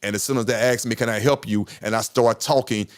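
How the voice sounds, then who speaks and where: A middle-aged man with a deep voice hosting a podcast, talking casually with passion and emphatic repetition. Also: steady tone, quick pace, smooth. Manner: passionate, emphatic, repeats himself. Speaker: podcast host. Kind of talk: casual monologue.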